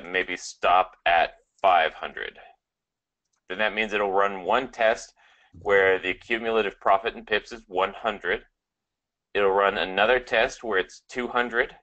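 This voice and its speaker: A man explaining software settings step by step, casual and full of fillers. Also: low-pitched, low-quality recording. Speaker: webinar co-host.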